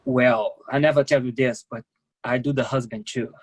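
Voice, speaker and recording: An actor in a staged play reading, playing a resigned, candid immigrant massage therapist who speaks in clipped, non-native phrasing, matter-of-factly. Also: male voice, mid-range voice, steady tone, moderate pace, natural voice. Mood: confiding.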